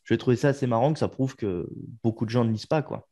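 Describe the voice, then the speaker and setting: A man hosting a podcast in a relaxed, chatty way, often trailing off with filler words. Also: mid-range voice, quick pace. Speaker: podcast host. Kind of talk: casual interview chat.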